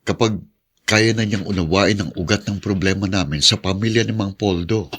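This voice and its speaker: Casting: protective Filipino dad, low and forceful, husky, troubled, radio drama. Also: male voice, normal speed. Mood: grave. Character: troubled, firm, protective husband and father.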